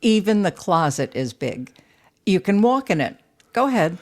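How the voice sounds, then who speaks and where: A woman's voice: fairly low pitch, sing-song, moderate pace, darkish tone. An actress playing a curious, insistent lady of a big house in an audio drama, talking in a probing, coaxing way.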